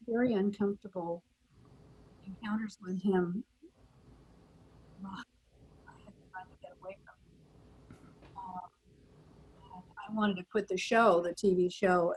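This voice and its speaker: A woman's voice: medium pitch, mellow, slightly rough, low-quality recording. A woman in her eighties, a former actress, reminiscing casually as a panel guest.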